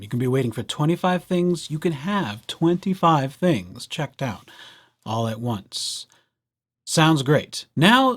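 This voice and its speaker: Man, higher-than-average pitch, swooping animated intonation, jokey, casual tutorial presenter, talks directly to viewers. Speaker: library staff presenter of a tutorial series. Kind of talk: casual scripted tutorial narration.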